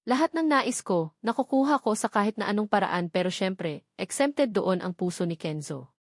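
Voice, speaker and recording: A woman's voice: mid-range voice, lively intonation, moderate pace, no echo, studio quality. A Filipino woman voicing a proud, sarcastic, rich young heroine in storybook dialogue. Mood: boastful.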